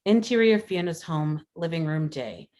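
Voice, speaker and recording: Low-pitched woman reading screenplay stage directions. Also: lively intonation, normal speed, a little mellow. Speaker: stage directions reader at a script table read. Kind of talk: scripted narration of screenplay action.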